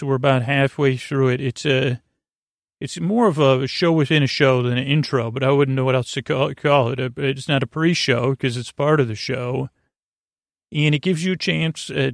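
A man hosting a bedtime podcast in a meandering, halting monologue that keeps interrupting itself, with a gently absurd, whimsical turn. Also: mid-range voice, creaky, no echo, clean studio sound. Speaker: podcast host.

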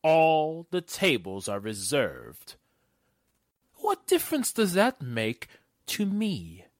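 A man acting a wealthy, imperious and fussy gentleman in a radio drama, with a pompous, haughty delivery. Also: on the high side, huge pitch swings, takes it slowly, no room sound, clean studio sound, exaggerated voice. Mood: imperious, demanding. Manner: pompous, haughty, wheedling menace. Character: wealthy, imperious, fussy club owner demanding special treatment.

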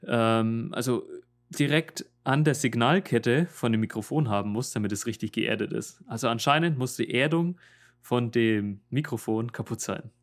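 A young man speaks with a Franconian accent in a laid-back podcast chat, hesitating now and then and laughing often. His voice is middle-pitched and plain, recorded on a home microphone over a video call. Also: normal speed. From Franconia, Germany. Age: young adult. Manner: easygoing, laughing, a little hesitant with fillers. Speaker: podcast co-host, language student. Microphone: home mic through an audio interface over video call.

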